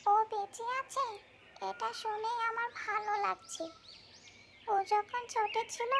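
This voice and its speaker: A woman voicing a female tree ghost in a cartoon fairy tale, with colloquial, exclamatory speech. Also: very high-pitched. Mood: wistful regret. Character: female tree ghost, regretful, easily exasperated, childlike high voice. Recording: noisy, birdsong ambience behind.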